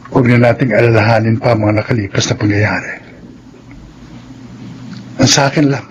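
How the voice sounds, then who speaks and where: A man's voice: mid-range voice, highs cut off, slight background noise, close to an everyday voice. A man acting a wronged, resigned grown son and ex-convict in a Filipino radio drama, speaking earnestly and wearily. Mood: resigned, pleading. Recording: radio drama with music underneath.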